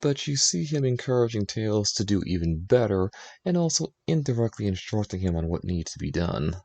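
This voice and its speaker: A man with a low, gravelly voice talks through his own writing in a relaxed, explanatory way. He thinks aloud as he goes, like a streamer chatting about his story. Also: brisk, no echo. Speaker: streamer writing fan fiction.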